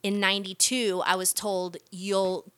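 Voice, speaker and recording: Bright-voiced middle-aged woman telling stories casually. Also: medium pitch, little pitch movement, a little slow, no room sound. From Costa Rica. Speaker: podcast guest, music manager. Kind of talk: casual conversational storytelling.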